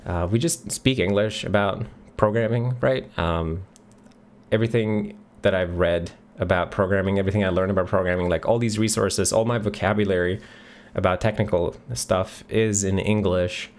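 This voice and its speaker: A middle-aged man from Sweden with a Swedish accent, hosting a live question session from a home office and reading chat questions aloud. He talks in a relaxed way, thinking aloud with many ums. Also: low-pitched, moderate pace. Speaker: livestream host answering chat questions. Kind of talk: casual live Q&A. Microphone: studio mic on a boom near the face.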